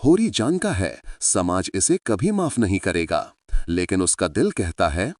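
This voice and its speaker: A man's voice: low voice, sing-song, unhurried, no echo, clean studio sound. A man narrating a story in a dramatic scripted style, speaking to his listeners as friends.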